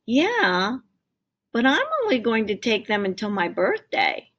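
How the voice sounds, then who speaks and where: A woman's voice: on the high side, very animated, a little slow, a bit bright, pitched above the performer's own voice, exaggerated voice. A woman voicing an eager, stubborn young boy in a storybook, speaking earnestly and insistently. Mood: defensive.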